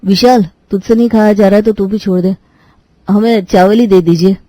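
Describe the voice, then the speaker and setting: A woman's voice: mid-range voice, steady tone, normal speed, warm tone, dry recording, lightly acted. A woman storyteller acts out a picky young brother-in-law in a cartoon tale. He is blunt and fault-finding, and the sound is close and dry. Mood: complaining. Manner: complaining, blunt. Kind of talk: Hindi cartoon moral story dialogue.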